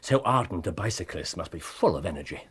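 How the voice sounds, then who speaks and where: A man's voice: low voice, animated, quick pace, breathy edge, full character voice. An actor playing a brusque, aloof male detective who makes dry deductions in a radio drama. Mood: deducing.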